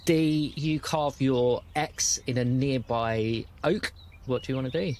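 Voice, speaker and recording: British male game master, mid-pitched, relaxed conversational narration of an improvised tabletop scene. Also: unhurried, slight background noise.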